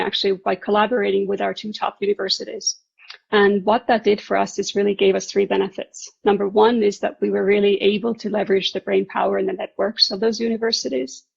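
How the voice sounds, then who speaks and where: A woman's voice: mid-range voice, vocal fry, a little mellow, slightly rough, muffled recording. A woman from Estonia who is a startup founder, introducing herself and her work in a professional panel presentation.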